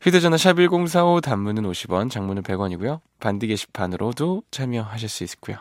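A man's voice: on the low side, fast talker, no room sound. A man, a ballad singer, hosting a live radio show in a studio and chatting casually between listener messages. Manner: relaxed, chatty, self-deprecating. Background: faint guitar music.

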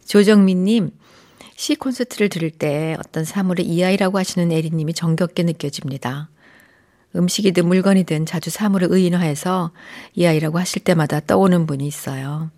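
A middle-aged woman hosting a radio show in a studio, chatting gently with listeners and often softening into a breathy near-whisper. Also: on the low side, brisk. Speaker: radio host. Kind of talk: warm radio chat reading listener messages. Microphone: studio microphone.

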